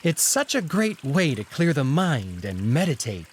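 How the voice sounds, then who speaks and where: A suave jackal courtier, acted out by a man, speaks in a fairly high, very swooping voice at a leisurely pace. He is airily polite with an insinuating edge, over faint trickling water. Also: very animated, measured pace, slight background noise. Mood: feigned politeness. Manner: polite, leisurely, airy small talk with hidden edge.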